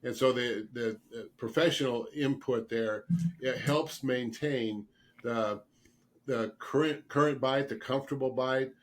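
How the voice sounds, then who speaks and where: A man speaks as a guest expert in an interview, explaining things earnestly. His mid-pitched voice is slightly rough as he gets over a cold, and he repeats words for emphasis. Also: unhurried. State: getting over a cold, throat clearing and coughing. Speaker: guest expert, dentist specializing in dental sleep medicine.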